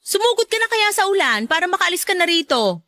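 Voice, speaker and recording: A woman playing a feisty, protective ex-wife and mother in a radio drama, sharp-tongued and nagging. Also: very high voice, very animated, brisk, no echo, clean studio sound, full character voice. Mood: irritated, scolding. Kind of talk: Tagalog radio drama acting.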